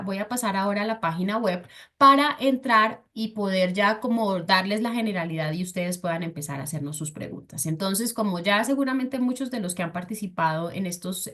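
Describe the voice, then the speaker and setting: Woman doing an online info webinar, mid pitch, talks it through slow and clear w lots of "entonces". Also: fairly bright tone. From Colombia. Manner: explains patiently, with filler "entonces" and "eh". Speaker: webinar presenter for a scholarship foundation. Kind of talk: explanatory webinar talk.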